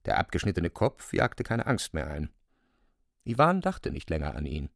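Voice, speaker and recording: A man narrating a literary radio play in a deliberate, expressive storytelling manner, close to the microphone. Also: low voice, creaky, no echo.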